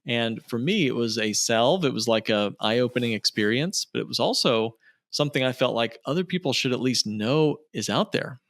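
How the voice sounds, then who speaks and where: A man's voice: medium pitch, normal speed, fairly bright tone. A man speaking as a financial planner guest on an interview podcast, explaining conversationally and emphatically, with repetition for effect.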